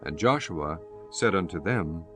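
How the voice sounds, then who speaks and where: A male audiobook narrator reads ancient scripture with formal, measured phrasing. His voice is on the low side and solemn, set over faint background music. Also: expressive, a bit bright.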